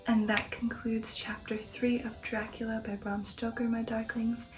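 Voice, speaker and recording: A woman reading an audiobook in ASMR style, in a soft breathy whisper, slowly and with dramatic deliberation. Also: fairly high pitch, level tone, rough recording. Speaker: ASMR host and narrator. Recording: music under the voice. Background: music.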